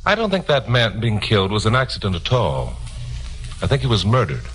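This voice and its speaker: Deep-voiced 1950s radio sleuth. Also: male voice, huge pitch swings, a little fast, heavy background noise. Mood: genial, probing. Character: self-assured male radio news commentator turned amateur sleuth. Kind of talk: 1950s radio drama acting and first-person narration. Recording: 1950s radio recording with music.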